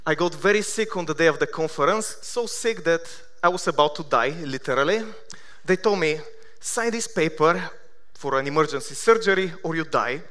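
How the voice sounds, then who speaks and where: A man in his thirties from Bulgaria with a Bulgarian accent, giving a conference keynote with self-deprecating deadpan humor. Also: fairly high pitch, moderate pace, few pauses. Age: 30s. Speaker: conference speaker. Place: large conference hall.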